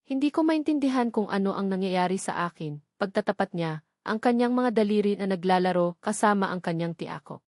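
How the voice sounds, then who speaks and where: A woman's voice: medium pitch, a little mellow, dry recording, clean studio sound. A woman reading a scripted story as its narrator.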